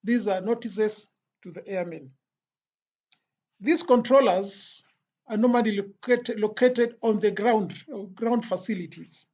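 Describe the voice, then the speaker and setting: An instructor giving an explanatory lecture in a deliberate, phrase-by-phrase way with pauses. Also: medium pitch, a little mellow. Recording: dull, lo-fi voice recording.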